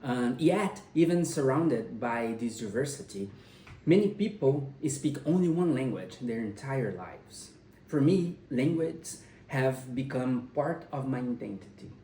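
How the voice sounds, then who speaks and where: A young man whose first language is Portuguese gives a persuasive class speech at home, speaking haltingly with pauses and restarts. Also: medium pitch, expressive, mellow, slight background noise. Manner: hesitant, with frequent pauses and restarts. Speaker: college student giving a speech. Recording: roomy sound, mic at a distance.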